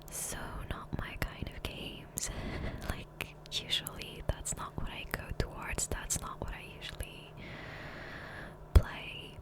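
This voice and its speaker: A woman whispers softly and breathily, close to the microphone. She rambles in a casual, unhurried way, as an ASMR creator narrating gameplay. The voice stays fully whispered. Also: poor audio. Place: voiceover recorded separately from gameplay. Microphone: Blue Yeti USB microphone, close.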